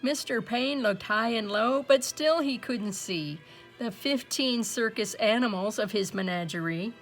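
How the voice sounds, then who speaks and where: A woman reading a rhyming picture book aloud as an expressive storyteller. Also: on the high side, measured pace, soft background music.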